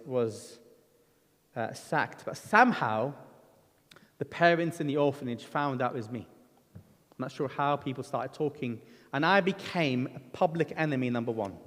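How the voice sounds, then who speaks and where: A middle-aged man from Lebanon preaching a sermon, telling his own story in an earnest, conversational way with frequent ums and restarts. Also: mid-range voice. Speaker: guest preacher. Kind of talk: sermon with personal testimony. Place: church hall. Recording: church hall reverb.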